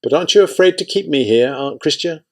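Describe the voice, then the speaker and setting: A man's voice: on the high side, animated, quick pace, lightly acted. A man voicing a wary young ex-prisoner.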